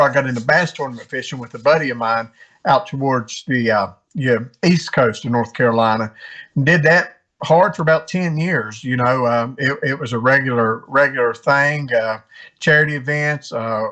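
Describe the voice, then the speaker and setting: A man from West Virginia talking casually as an interview guest, halting and often repeating his words. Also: mid-range voice, sharp tone, rough recording. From West Virginia, United States. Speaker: interview guest, amateur tournament angler.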